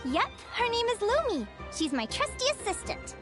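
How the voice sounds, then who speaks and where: A bubbly young guild advisor from a video game, girlish and extremely high. She is chirpy, curious and gushing, with lively swings in pitch over clearly audible music. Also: moderate pace. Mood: informative, proud. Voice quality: girlish, light.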